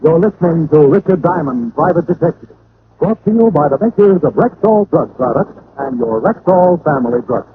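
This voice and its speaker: A man's voice: a little high, expressive, normal speed, narrow, tinny audio, background noise. A man announcing a show in the style of 1940s radio. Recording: thin old radio broadcast sound. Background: music.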